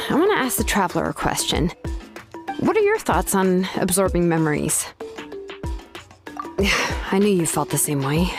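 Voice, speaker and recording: A woman voicing a laid-back, expert female blacksmith in a game cutscene, talking in a relaxed, offhand way with frequent "uh"s. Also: on the low side. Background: game background music.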